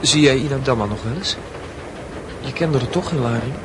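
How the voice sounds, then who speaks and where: A man playing a touchy, lovesick secondary-school student in a radio drama, speaking haltingly and repeating words. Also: mid-range voice, natural voice. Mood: probing, evasive. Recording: noisy radio drama recording.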